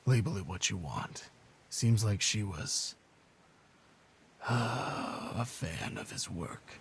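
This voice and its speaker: Noir game cop,: middle-aged, bitter, weary, deep crackly rasp that shifts from murmur to growl. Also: male voice, lively intonation, slow pace. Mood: grim, guarded. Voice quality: gravelly, breathy low rasp. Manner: brooding, hard-boiled, terse, profane. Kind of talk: scripted noir game cutscene acting.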